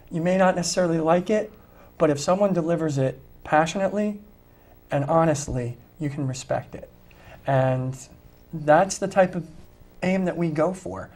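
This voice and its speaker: A man's voice: on the high side, moderate pace, a little mellow. A man who plays in a tribute band, talking earnestly and reflectively in an interview, with children of his own. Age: adult with children. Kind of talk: interview conversation.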